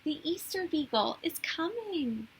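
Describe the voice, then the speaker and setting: A woman voicing a hopeful, earnest little boy with an excited manner in a children's storybook. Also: sits high, unhurried, a little hiss or hum, voice pushed up, exaggerated voice, rough recording. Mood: awed, excited. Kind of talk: children's storybook read-aloud.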